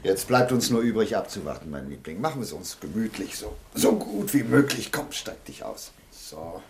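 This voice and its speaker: A man's voice: on the low side, sing-song, normal speed, slight background noise. An actor in a German radio play, playing a protective man who soothes his beloved in an insistent, emotional manner. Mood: tender, concerned.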